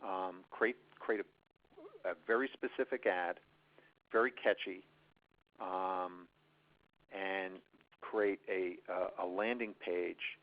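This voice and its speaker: A man's voice: low voice, fairly even intonation, slow, pauses often. A man giving marketing advice in an unscripted webinar answer, rambling with many restarts and fillers. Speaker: guest presenter, marketing expert. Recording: tinny phone-line audio.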